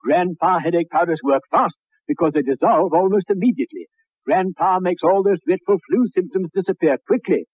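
A male commercial announcer reads an old radio advert. His voice is somewhat high and husky. The recording is narrow and muffled, like an aged broadcast. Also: fairly quick, dry recording. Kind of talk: 1960s radio advertisement read.

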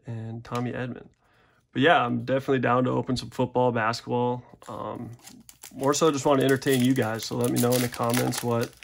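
Gravelly-voiced young man chatting casually. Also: mid-range voice. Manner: chatty, rambling, self-deprecating asides. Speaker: card-opening channel host. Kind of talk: casual pack-opening commentary. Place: indoors at a desk.

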